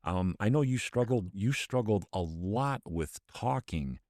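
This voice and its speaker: A man hosting a casual interview, encouraging his guest in a halting way, restarting and repeating words. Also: on the low side, animated, a little mellow, no echo, clean studio sound. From Michigan. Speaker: podcast host.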